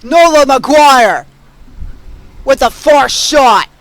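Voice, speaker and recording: A young man doing excitable live play-by-play sports commentary as a student sportscaster. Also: extremely high pitch, drawn-out words, no echo, poor audio. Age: young adult. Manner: excitable, bursting into shouts on big plays.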